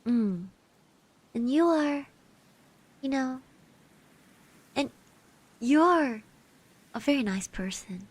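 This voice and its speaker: A woman doing an ASMR roleplay as a caring girl classmate, soft-spoken and hesitant, close to the mic and often slipping into breathy whispers. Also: high-pitched, animated, drawn-out words, long pauses. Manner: hesitant, gently reassuring, speaks of herself in third person. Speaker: ASMR roleplay performer, streamer. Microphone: close microphone.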